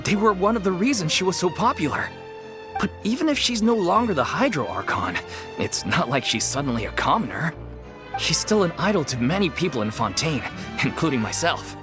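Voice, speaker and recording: A wistful amateur theater leader. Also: male voice, a little high, very animated, fairly quick. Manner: sincere, wistful, polite. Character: earnest, downcast amateur theater troupe leader. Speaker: troupe organizer. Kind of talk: game voice acting. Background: game soundtrack music.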